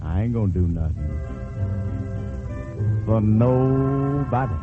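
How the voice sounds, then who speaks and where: A man drawls a folksy vaudeville lament very slowly, half talking and half singing to the audience. Music plays clearly behind him, and the old radio recording is dull. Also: on the low side, expressive. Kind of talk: half-spoken comic lament, vintage vaudeville song. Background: music accompaniment.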